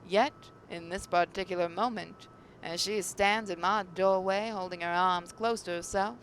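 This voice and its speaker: A woman's voice: fairly low pitch, fairly even intonation, normal speed, slight background noise, rough recording. A woman gaming host reading visual novel narration aloud, with occasional stumbles.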